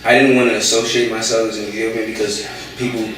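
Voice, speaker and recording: A man's voice: on the low side, little pitch movement, fast, fairly bright tone. A young American man talking casually in an interview, hesitant, with many fillers and restarts. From United States. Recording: echoey room, voice from far off.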